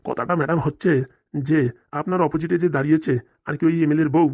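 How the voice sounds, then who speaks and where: A man's voice: a little high, fairly even intonation, quick pace, a little mellow, close to an everyday voice. A man voicing a greedy, scheming village policeman in a comic cartoon, with conspiratorial, rustic, colloquial speech. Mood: wheedling, secretive.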